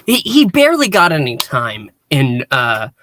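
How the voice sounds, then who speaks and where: A man speaks as a podcast panelist in a casual review chat. His delivery is earnest and emphatic, broken by halting pauses. His voice sits at a medium pitch in a dry sound with no room to it. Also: wildly swooping pitch, pushed and bright, no room sound. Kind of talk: casual fan review discussion.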